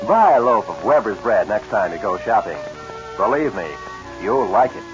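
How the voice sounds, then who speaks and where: A man's voice: lowish voice, sing-song, normal speed. A man reading a scripted 1940s radio advertisement with earnest, persuasive salesmanship, on a dull vintage recording. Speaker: commercial announcer. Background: music.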